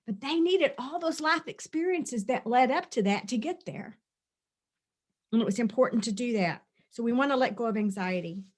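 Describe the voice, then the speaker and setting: A woman's voice: fairly high pitch, lively intonation, normal speed. A middle-aged woman, a spiritual teacher, talking earnestly through a release session, often repeating and listing phrases.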